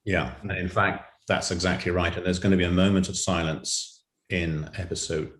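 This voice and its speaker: A man's voice: deep voice, flat delivery, moderate pace, a bit bright. A man speaking as an interview guest, telling illustrative anecdotes about his creative work. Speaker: writer and director, interview guest. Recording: echoey room.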